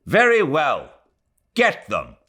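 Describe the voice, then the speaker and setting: A stiff young Englishman, played by a male reader in an audiobook, clipped and brusque with exasperation. His lines come a touch higher than the narration, with lively pitch. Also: slow, full character voice. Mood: brusque impatience.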